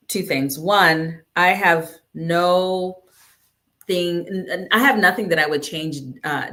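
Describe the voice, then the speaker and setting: Low-voiced, gravelly woman reflecting. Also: fairly bright tone. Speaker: panelist, executive coach and former attorney. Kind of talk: reflective panel talk.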